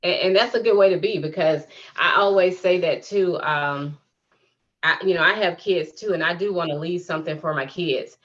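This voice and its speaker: A woman's voice: on the low side, moderate pace, fairly bright tone. A woman hosting a podcast in a casual interview, affirming and chatty. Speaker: podcast host. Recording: dull lo-fi audio.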